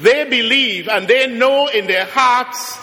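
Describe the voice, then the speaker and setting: A middle-aged man from Trinidad with a Trinidadian accent, preaching a sermon as a guest preacher, slowing down and rising to emphatic peaks. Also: extremely high pitch, pushed and bright. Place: large church. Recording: long church reverb.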